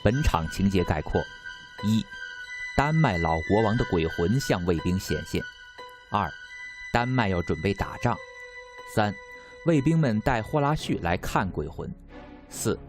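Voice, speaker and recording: A man's voice: sits low, expressive, normal speed, over music. A man reading a scripted summary and credits as a narrator.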